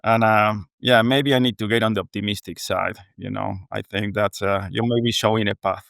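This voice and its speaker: Argentine-accented man, smooth clear voice. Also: on the low side, fairly even intonation, a little fast, a bit bright, no echo, studio quality. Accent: Argentine Spanish. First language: Spanish. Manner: earnest, reflective, frequent "you know". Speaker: guest, water technology executive. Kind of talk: conversational interview.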